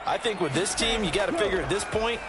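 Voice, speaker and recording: An actor playing a folksy American football analyst giving conversational color commentary in a video game. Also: male voice, high-pitched, lively intonation, quick pace, heavy background noise. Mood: enthused, explanatory.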